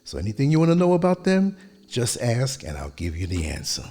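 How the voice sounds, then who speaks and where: A man with a husky, worn-down voice that sounds close to giving out, delivering a podcast monologue in measured, emphatic sentences. His pitch swings widely as he stresses each point. Soft music plays faintly underneath. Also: wildly swooping pitch. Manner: measured, emphatic delivery in short declarative lines. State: hoarse, losing his voice. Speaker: podcast host. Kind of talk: motivational monologue on relationships.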